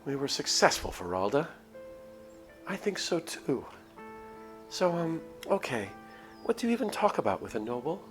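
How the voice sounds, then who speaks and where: A man voicing high-pitched earnest village women. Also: big pitch swings, normal speed, over music. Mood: unsure. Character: young village women, anxious, scheming friends.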